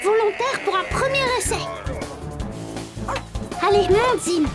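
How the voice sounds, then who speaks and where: A woman's voice: extremely high pitch, slow pace, over music. A woman voicing a cheerful, helpful little wooden puppet boy in a children's cartoon, with an upbeat, encouraging manner. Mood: eager, encouraging.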